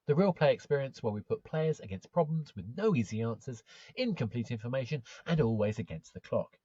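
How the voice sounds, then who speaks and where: A man's voice: medium pitch, expressive, fairly quick, warm tone, husky, no room sound, lo-fi sound. A man hosting a show, reading a scripted introduction as dramatic narration. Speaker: show host. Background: faint music.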